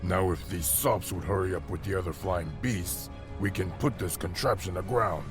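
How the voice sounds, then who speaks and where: A man's voice: deep voice, moderate pace, lightly acted. A man playing a grim, irritable half-ogre warrior in a fantasy audio drama, speaking in a dour, blunt way. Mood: grim, irritated. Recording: loud music behind.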